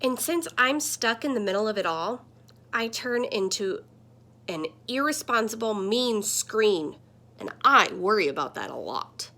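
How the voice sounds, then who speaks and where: A woman voicing a friendly, earnest talking computer screen that gently scolds, in a children's picture book. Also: on the high side, very animated, a little slow, exaggerated voice. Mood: earnest, warning. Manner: earnest, explanatory.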